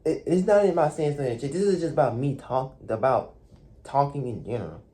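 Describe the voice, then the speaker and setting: A young man, talking softly and hesitantly to the camera in a dark room, with many fillers and restarts. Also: medium pitch, expressive, fast. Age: young adult. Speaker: vlogger. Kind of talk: reflective talk to camera.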